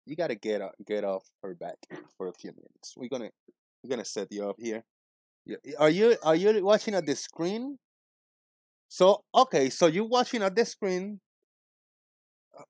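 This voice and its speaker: A man in his forties hosting a casual livestream, talking in a loud, joking, rambling way. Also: on the high side, moderate pace, long pauses, dry recording, clean studio sound. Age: 40s. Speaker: livestream host.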